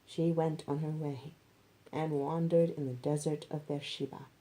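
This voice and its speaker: A woman reads scripture aloud in an expressive storytelling style. Her voice sits a little low. The tone is soft-edged, dark and mellow. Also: unhurried, low-quality recording. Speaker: reader of scripture narrative.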